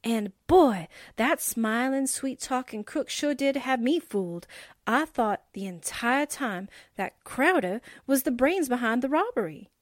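A woman's voice: a little high, expressive, a little slow, no room sound, studio quality. A woman playing a breezy, flirty 1940s newspaperwoman sidekick in a radio drama, with slangy, playful wisecracks. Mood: puzzled, chatty. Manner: folksy, slangy, playful exclamations.

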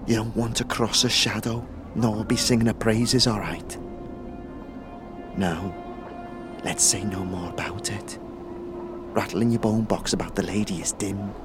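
A man playing a shifty, chatty young street guide in an audio drama, with halting slangy talk. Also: on the low side, over music, lightly acted. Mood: wary, hushed.

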